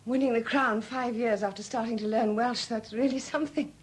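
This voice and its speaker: An actress in a 1970s radio drama playing a weary, flustered sculptress, newly widowed and proud, speaking candidly in hesitant, halting phrases. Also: female voice, a little high, steady tone, close to an everyday voice. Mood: halting, wistful. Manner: hesitant, halting, repeating words. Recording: noisy old 1970s radio recording.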